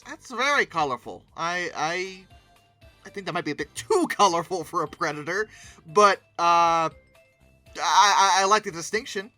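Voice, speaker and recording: A host giving casual, humorous reaction commentary in an animated, theatrically incredulous way, prone to exclaiming. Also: mid-range voice. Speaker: video host commenting on old dinosaur art.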